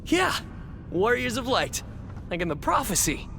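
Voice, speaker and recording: An actor playing an upbeat, talkative young adventurer in a video game, with casual delivery. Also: male voice, extremely high pitch, sing-song, measured pace, vocal fry, heavily acted. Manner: casual, chatty. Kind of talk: dramatic video game acting. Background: noisy ambience.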